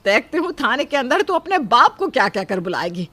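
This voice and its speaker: Woman acting high-pitched, wailing old mother-in-law. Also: very animated, sharp tone, no room sound, full character voice. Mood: indignant. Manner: wailing, exasperated, drawn-out complaints. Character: dramatic, lamenting, scolding old Indian mother-in-law. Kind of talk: cartoon moral story acting.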